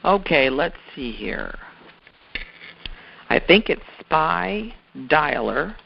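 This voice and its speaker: A man's voice: fairly high pitch, big pitch swings, very slow, creaky, a little hiss or hum. A man giving an informal instructional talk as a sales trainer, explaining things step by step in a conversational way and repeating key points. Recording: dull, lo-fi audio.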